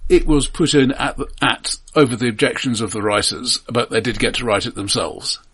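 A man's voice: mid-range voice, fairly quick, fairly bright tone, slightly rough. A man co-hosting a casual, analytical film discussion, hesitant and thoughtful in his phrasing. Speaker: podcast co-host.

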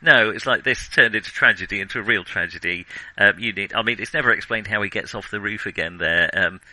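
A man co-hosting a film podcast, chatting casually in a halting, digressive way and often correcting himself. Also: fairly low pitch, sharp tone, no room sound, low-quality recording. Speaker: film discussion podcast co-host.

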